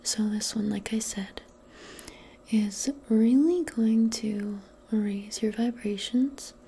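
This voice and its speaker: A woman role-playing in soft-spoken ASMR, speaking gently and soothingly in a breathy, mostly whispered voice. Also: medium pitch, a little hiss or hum. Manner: gentle, soothing, unhurried, conversational. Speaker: ASMR performer role-playing a modern witch.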